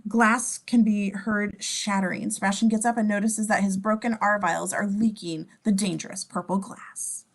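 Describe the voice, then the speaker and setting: A woman with a mid-pitched voice reads stage directions as a narrator. Her delivery is that of an amateur table read, with small stumbles and corrections. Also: steady tone, moderate pace, few pauses. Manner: stumbles over words and self-corrects. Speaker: scene description reader.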